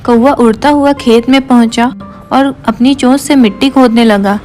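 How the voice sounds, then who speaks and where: Woman narrator, higher pitch, scripted children's storytelling, music clearly audible behind. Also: steady tone, normal speed, darkish tone. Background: background music.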